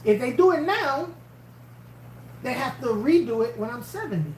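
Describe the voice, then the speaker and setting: A woman's voice: high-pitched, lively intonation, normal speed, a little mellow. A woman in her fifties chatting on a livestream, blunt and emphatic, repeating her points. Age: 50s. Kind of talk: casual livestream chat. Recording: echoey room with faint hum.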